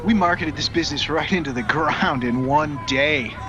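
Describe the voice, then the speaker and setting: A man's voice: fairly high pitch, normal speed, music in the background, close to an everyday voice. An actor playing a grave, defeated male survivor giving a cautionary mock news interview. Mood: grim. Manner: solemn, cautionary.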